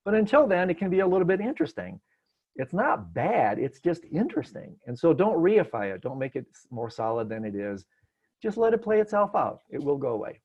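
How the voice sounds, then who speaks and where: A man from Detroit, a meditation teacher, answering a question in a relaxed, gentle way with frequent restarts, over a video call. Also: on the high side, brisk, husky, dull audio. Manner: gentle, searching, many restarts. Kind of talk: relaxed spoken teaching, unscripted.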